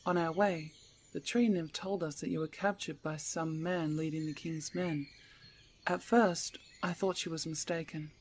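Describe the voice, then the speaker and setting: A woman voicing a calm, regal, motherly bear queen with a measured, formal, reassuring delivery in a fantasy ASMR roleplay. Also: deep voice, a little hiss or hum. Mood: grave, measured.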